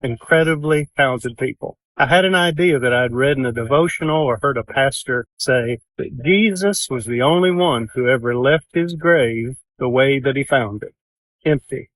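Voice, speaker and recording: A male songwriter telling the story behind a song in a relaxed storytelling way. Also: medium pitch, moderate pace, no room sound, low-quality recording. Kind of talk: interview clip.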